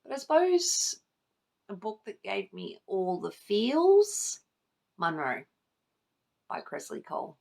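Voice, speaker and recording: Creaky-voiced woman chatting casually about favourites. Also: mid-range voice, very animated, slow pace, pauses often, a little mellow. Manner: informal, sweary, gushing about favourites. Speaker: book vlogger, channel host. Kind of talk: casual chat to camera, book tag video.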